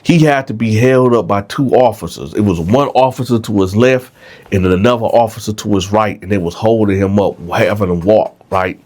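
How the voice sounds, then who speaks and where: Low-voiced man with a stuffy nose. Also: brisk, a little mellow. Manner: conversational, keeps asking "right?". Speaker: vlog host. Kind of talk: casual storytelling to viewers.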